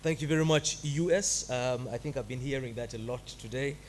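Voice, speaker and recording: A man's voice: mid-range voice, fairly quick, noisy background. A man giving a commencement address at a graduation ceremony, joking with the audience between formal greetings. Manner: jovial, playful asides to the audience. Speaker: commencement speaker. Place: ceremony hall.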